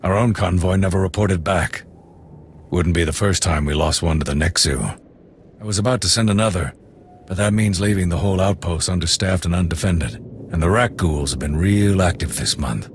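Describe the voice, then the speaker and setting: An actor playing a blunt veteran officer in charge of a military outpost, speaking dry and matter-of-fact. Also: male voice, low-pitched, normal speed, slight background noise. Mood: gruff. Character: gruff male outpost commander, blunt veteran. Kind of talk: video game cutscene.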